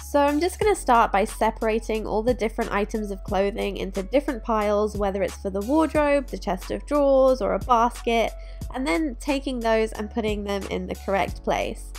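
A woman's voice: fairly high pitch, moderate pace, a little mellow, soft background music. A young woman, giving upbeat, instructive narration while cleaning her bedroom. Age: young adult. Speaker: lifestyle vlogger. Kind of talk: cleaning tutorial voice-over and to-camera vlog. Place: bright bedroom, indoors.

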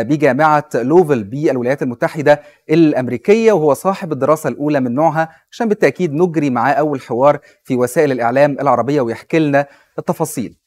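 A man's voice: on the high side, darkish tone. A man in his thirties with an Egyptian accent, presenting from a newsroom studio and interviewing a guest. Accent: Egyptian Arabic. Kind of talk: scripted news introduction.

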